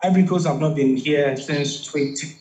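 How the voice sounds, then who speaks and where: A man's voice: a little high, normal speed, a little mellow, echoey room, rough recording. A man from Ghana giving conversational football analysis as a studio pundit, with frequent restarts.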